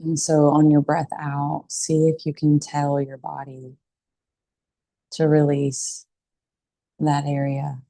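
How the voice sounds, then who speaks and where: A woman teaching a guided meditation in a calm, soothing, unhurried way with pauses between phrases. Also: deep voice, vocal fry, no echo.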